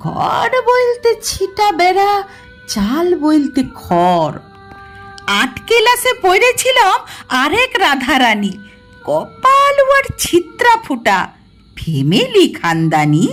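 A woman reciting dramatic poetry as a poor, ill-fated village girl in a rural western Bengal dialect, with a plaintive, emotive, drawn-out delivery. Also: very high-pitched, huge pitch swings, a bit bright, soft background music, voice pushed up, full character voice. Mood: wistful, plaintive.

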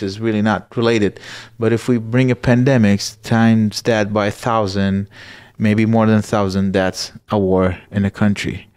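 A man in his thirties from Iraq, a guest telling his own story in a podcast interview, earnest and halting, with many fillers and restarts, at a boom-arm mic in a small studio room. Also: dry recording.

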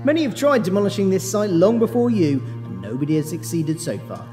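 Wry, taunting male ghost actor, highish animated voice, audio drama. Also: fairly quick, faint music behind. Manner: theatrical, wry. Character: cocky, jokey ghost man who taunts.

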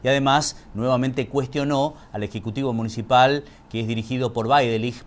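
A middle-aged male news anchor reads a report in a measured, formal voice at a middling pitch. His delivery is steady and scripted.

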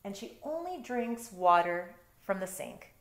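A woman's voice: medium pitch, sing-song, unhurried. A middle-aged woman reading a picture book aloud and adding chatty, explanatory asides as she goes. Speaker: storytime reader, teacher. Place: bedroom at home. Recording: roomy indoor echo.

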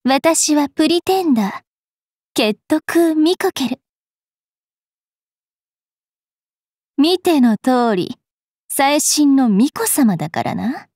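A woman playing a haughty, mischievous young vampire fairy priestess in an anime game, brash and teasing in a rough-spoken way. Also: sits high, expressive, a little slow, darkish tone, dry recording, clean studio sound. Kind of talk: anime game character voice lines.

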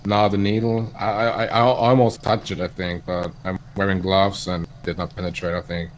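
A man's voice: sits low, flat delivery, moderate pace, slight background noise, low-quality recording. A man chatting casually in character as a police officer in an online role-play game.

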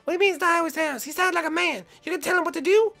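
Very high-pitched, sassy cartoon girl. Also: rapid-fire, a bit bright, soft background music. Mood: bossy, defiant. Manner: coy, then pushy and combative.

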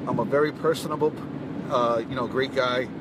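A man's voice: mid-range voice, fairly even intonation, a little fast. Chatty New York man in a car. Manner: chatty, emphatic, self-deprecating asides. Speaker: fitness coach. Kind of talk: casual selfie video pep talk. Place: parked car interior. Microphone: phone held close, front camera. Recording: car with clear road noise.

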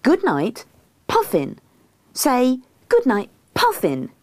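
A woman's voice: on the high side, wildly swooping pitch, moderate pace, darkish tone, dry recording, pitched above the performer's own voice, full character voice. A woman voicing a needy, insistent wife, pleading and indignant, in a comic book reading. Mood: coaxing.

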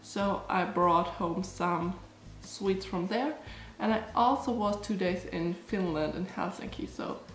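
A woman's voice: lowish voice, takes it slowly, hardly any pauses, echoey room, poor audio. A young woman from Germany with a stuffy, blocked-up nose from allergies, chatting casually as she tastes snacks for her vlog. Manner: chatty, rambling, lots of "like" and "um". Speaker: food vlogger. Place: small cottage room, indoors. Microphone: camera in front, close framing.

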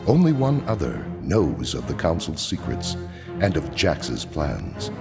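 A man performing grave, ominous, dramatic narration for a space opera audio drama in a deep voice. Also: wildly swooping pitch, measured pace, vocal fry, over music, heavily acted.

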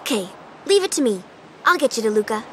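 A woman's voice: fairly high pitch, sing-song, fast talker, a little hiss or hum. A woman playing a perky, friendly teenage girl in a video game, chirpy and informal. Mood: upbeat, confiding. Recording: music behind the voice.

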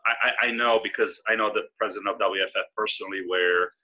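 A man's voice: lowish voice, steady tone, fast talker. A man in his forties, originally from Serbia, answering interview questions in an easygoing, explanatory way over a video call. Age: 40s. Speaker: guest. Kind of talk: casual interview. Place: indoor room with brick wall. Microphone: video call webcam. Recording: slightly lo-fi video call audio.

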